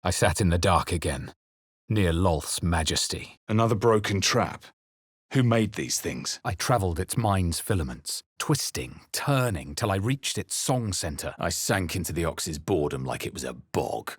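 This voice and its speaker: Husky, low-voiced brooding fantasy adventurer. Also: male voice, lively intonation, moderate pace, no room sound, clean studio sound. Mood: alert. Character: male fantasy adventurer hero, brooding and grave. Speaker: video game player character. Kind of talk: dramatic fantasy game narration, barks and spell incantations.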